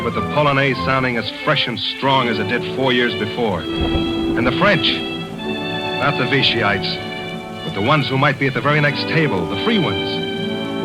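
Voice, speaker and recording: An actor playing a world-weary American foreign correspondent in a 1940s radio play, laconic and hard-boiled. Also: male voice, mid-range voice, moderate pace, close to an everyday voice, poor audio. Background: music.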